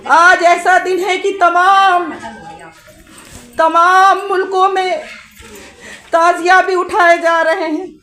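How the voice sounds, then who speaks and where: A woman's voice: very high voice, flat delivery, slow, long pauses, pushed and bright. A woman orator delivering a Shia religious sermon in a declamatory way, using rhetorical questions and repeated phrases. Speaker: majlis orator. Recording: live gathering with crowd noise. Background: congregation crowd.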